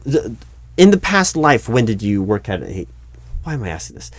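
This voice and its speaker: A man playing a baffled, exasperated recruiting manager in a one-sided ASMR job interview roleplay, speaking aloud with halting, repeated words. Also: on the low side, sing-song, dry recording. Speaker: roleplay performer.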